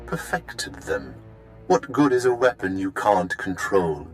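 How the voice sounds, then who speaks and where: Menacing male sci-fi game villain, deep-voiced, sadistic, sneering. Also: big pitch swings, full character voice. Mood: cold, cruel. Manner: sneering, deliberate. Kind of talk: video game voice acting. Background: music.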